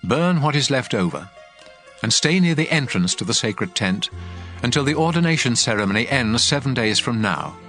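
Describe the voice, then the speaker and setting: A man's voice: medium pitch, expressive, moderate pace, a bit bright, lightly acted. An actor playing a commanding male prophet, solemn and declamatory, instructing his priests in a dramatized scripture reading. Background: music.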